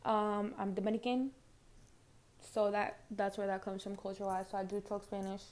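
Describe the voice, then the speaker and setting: A woman's voice: mid-range voice, little pitch movement, normal speed, a little mellow, a little hiss or hum. A young woman from Harlem with a New York accent, hosting her own podcast in a brash, profane, chatty way from her couch at home. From Harlem, New York City. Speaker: podcast host. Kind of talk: casual solo podcast monologue.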